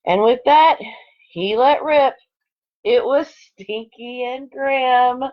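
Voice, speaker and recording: A woman's voice: high voice, slow. A woman, a second grade teacher, reading aloud to children online with chatty asides, in a lo-fi home recording. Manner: chatty asides between expressively read story lines.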